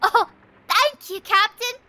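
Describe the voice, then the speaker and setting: A woman's voice: very high voice, slow, sharp tone, full character voice. A woman playing an eager, scrappy little street girl in an audio drama, wide-eyed and hesitant. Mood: timid, grateful.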